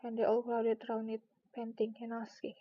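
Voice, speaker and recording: A woman's voice: a little high, monotone, moderate pace, no room sound. A woman narrating a children's storybook aloud in a careful read-aloud style. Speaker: streamer reading books aloud. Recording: slightly dull, lo-fi sound.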